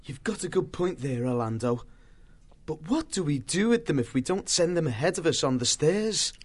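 A man's voice: a little high, expressive, moderate pace, husky, voice pushed up. A man voicing an earnest male warrior mouse leader in a storybook, firm and commanding. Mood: resolute.

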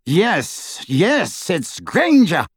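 A man's voice: fairly high pitch, big pitch swings, a little slow, slightly rough, dry recording, clean studio sound, voice pushed up, exaggerated voice. A man voicing an eager, impatient aristocratic man. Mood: excited.

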